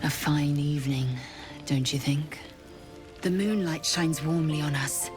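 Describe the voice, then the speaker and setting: A haughty warrior alien, low-voiced. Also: female voice, unhurried, music in the background. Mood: scornful. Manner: curt, disdainful, commanding. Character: proud, harsh female githyanki warrior. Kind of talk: video game cutscene dialogue.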